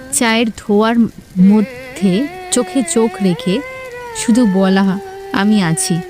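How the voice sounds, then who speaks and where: A woman narrator reading a scripted romantic story with sentimental, literary phrasing. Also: medium pitch. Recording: music clearly behind the voice.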